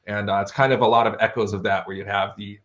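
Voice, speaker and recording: A man with a fairly low voice talks as a guest author in an interview. He explains things in an articulate, bookish but conversational manner. The recording is a little dull and lo-fi. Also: fairly even intonation, quick pace, fairly bright tone. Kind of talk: conversational explanation of fantasy worldbuilding.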